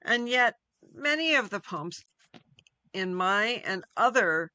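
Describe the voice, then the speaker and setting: A woman speaking as a guest poet in a conversational interview, earnest and deliberate in her phrasing. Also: mid-range voice, expressive, sharp tone, no room sound. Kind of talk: conversational interview about poetry.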